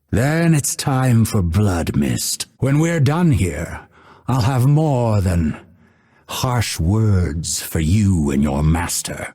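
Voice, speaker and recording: Video game assassin, male: breathy low voice, unhurried and deliberate, sardonic and coldly threatening. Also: dark tone, heavily acted. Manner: measured, deliberate, dryly sardonic delivery. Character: male unveiled assassin, solemn, dry-witted, coldly menacing. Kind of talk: scripted game character voice lines.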